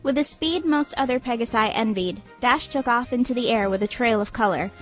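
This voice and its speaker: A woman's voice: fairly high pitch, fairly quick, faint music behind. A woman reading scripted story narration as the narrator of an audio drama.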